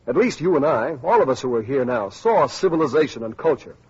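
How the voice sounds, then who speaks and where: An actor playing an earnest, idealistic male community leader in a radio drama, speaking deliberately and anxious for the future. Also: mid-range voice, expressive, a little mellow, husky. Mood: worried, earnest. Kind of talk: 1950s radio drama acting. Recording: muffled old radio recording, music behind.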